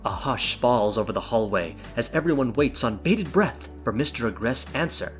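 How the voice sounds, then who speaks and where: A male narrator tells a scripted story theatrically, with lively, varied pitch over soft music. His delivery is playful and dramatic, suited to an audio drama. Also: normal speed.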